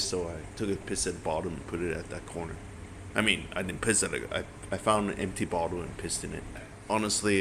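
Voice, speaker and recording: A man from Hong Kong chatting candidly as a travel vlogger, rambling and excitable. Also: deep voice, vocal fry. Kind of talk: casual vlog narration and chat. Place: bus stop area outdoors. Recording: street noise behind.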